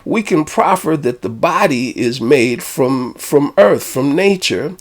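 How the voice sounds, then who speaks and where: A man, a spiritual teacher and author, reflecting on his own book in a measured, deliberate way with hesitant fillers. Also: a little high, animated.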